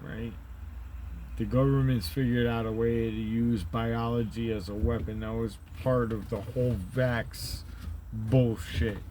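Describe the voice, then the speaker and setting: Deep, gravelly man, slow and rambling. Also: mellow, slight background noise, poor audio. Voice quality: deep, rumbly voice. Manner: profane, conspiratorial, keeps asking "right?". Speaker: channel host. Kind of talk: rambling monologue.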